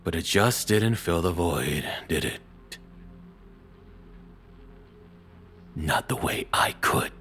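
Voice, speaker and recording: An extremely low, breathy male voice from an actor plays a cruel, controlling ex in an audio roleplay. He swings expressively between cold taunts and hushed menace, belittling the listener slowly and intimately. Music plays behind him. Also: heavily acted. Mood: taunting, controlling. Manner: slow, taunting, drops into whispers.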